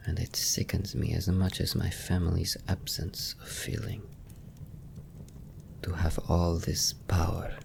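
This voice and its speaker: Man acting a low, gravelly vampire nobleman. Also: a little hiss or hum, full character voice. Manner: formal, archaic, softly spoken. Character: gentle, sorrowful young vampire nobleman, secretly merciful. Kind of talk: ASMR fantasy roleplay.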